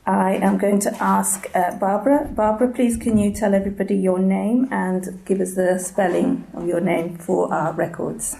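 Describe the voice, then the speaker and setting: A woman conducts an oral history interview in a mellow, fairly low voice with very heavy creak. She politely explains and prompts, pausing as she goes. It is recorded in an echoey room. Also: fairly even intonation. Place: indoors at an arts centre.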